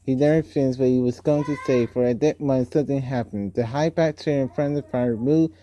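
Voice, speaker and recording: A man's voice: medium pitch, fairly quick, warm tone, muffled recording, a little hiss or hum. A man reading a story aloud in an informal way, stumbling over words now and then. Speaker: amateur book reader. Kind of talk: read-aloud storytelling with casual asides.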